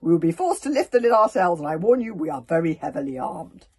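A loud, puffed-up Viking chief who bellows his orders in a big, self-important voice, as a woman puts him on. The delivery is lively and jumps all over the place, and the tone is very raspy. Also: lowish voice, fast. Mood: commanding. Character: blustering pompous male Viking chief.

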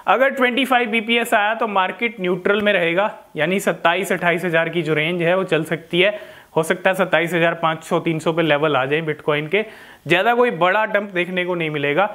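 A man's voice: high voice, fairly even intonation, fairly quick, fairly bright tone. A man in his thirties with an Indian accent, giving informal market commentary to camera in an explanatory way, often checking in with short tag questions. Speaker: crypto vlogger. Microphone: lapel mic.

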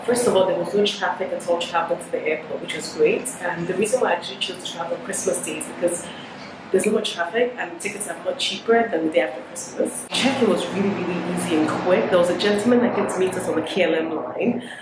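A woman's voice: fairly low pitch, fast talker, noisy background. A woman in her thirties, talking casually and upbeat as a travel vlogger, full of little fillers. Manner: chatty, upbeat, lots of fillers like "you know". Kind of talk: casual vlog chat and voice-over review. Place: airport lounge, indoors. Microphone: camera held close at arm's length.

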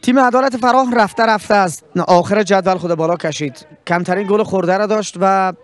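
A young man with an Afghan Dari accent, a sports presenter interviewing after a match and signing off with a handheld mic outdoors. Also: sits high. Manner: formal, fluent broadcaster delivery. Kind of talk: post-match interview and sign-off. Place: outdoor pitchside sponsor backdrop.

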